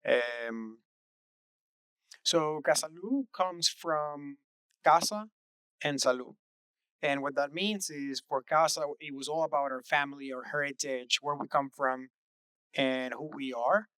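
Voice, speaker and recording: Earnest young Venezuelan-born founder, crisp voice. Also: male voice, a little high, unhurried, a bit bright, dry recording. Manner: earnest, reflective, frequent "uh" fillers. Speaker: startup co-founder, interview guest. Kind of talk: relaxed interview answers.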